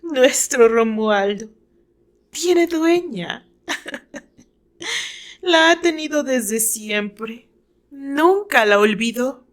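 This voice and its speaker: Woman acting a cruel, slow-taunting blonde rival. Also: high-pitched, lively intonation, pitched above the performer's own voice, exaggerated voice. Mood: menacing. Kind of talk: radio drama acting.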